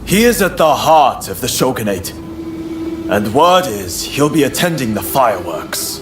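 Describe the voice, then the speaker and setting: An actor playing a coolly calculating, sardonic revolutionary plotter in a video game, giving deliberate, persuasive orders. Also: male voice, medium pitch, big pitch swings, music in the background. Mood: grave, plotting. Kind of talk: dramatic video game cutscene acting.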